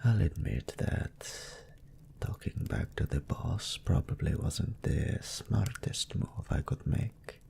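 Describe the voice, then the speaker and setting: A man playing a smug, lustful demon incubus in an audio roleplay, musing languidly and sardonically in a gravelly, breathy voice. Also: sits low, lively intonation, slow pace, mellow, slight background noise, exaggerated voice. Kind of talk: scripted character monologue in audio roleplay.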